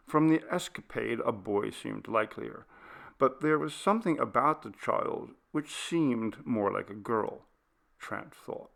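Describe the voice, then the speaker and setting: A man narrating a literary ghost story as an audiobook reader, with measured, deliberate phrasing. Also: medium pitch, gravelly, a bit bright.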